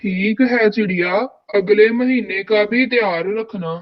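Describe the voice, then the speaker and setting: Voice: mid-range voice, a little slow, dull audio, lightly acted. An actor voicing a greedy, harsh crow landlord in a cartoon, with a curt, bullying manner. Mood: demanding. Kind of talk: Hindi cartoon dialogue.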